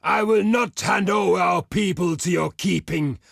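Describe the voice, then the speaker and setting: A man acting a proud, blunt barbarian chieftain for a video game, with a gravelly, husky voice and a theatrical, declamatory delivery. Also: high voice, normal speed, a bit bright, no room sound, clean studio sound, heavily acted. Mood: scornful, defiant. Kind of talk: video game character voice lines.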